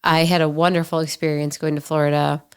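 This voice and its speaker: Female podcast host, 20s, nasal and congested, low pitch, casual candid storyteller. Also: little pitch movement, quick pace, clean tone. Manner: candid, chatty, frequent ums and likes. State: nasal, stuffy from allergies.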